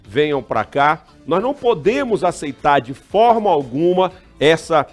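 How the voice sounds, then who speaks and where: A man argues on a talk show in a blunt, emphatic way. His voice sits a little high for a man, and his indignation comes through in every point he makes. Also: very animated, unhurried, soft background music. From Amazonas, Brazil. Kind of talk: heated opinion debate.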